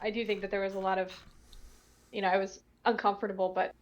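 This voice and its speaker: A woman's voice: mid-range voice, little pitch movement, quick pace, dull audio. A woman voice actor chatting casually in a group commentary, in a tentative, hedging way. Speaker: voice actor in an audio drama.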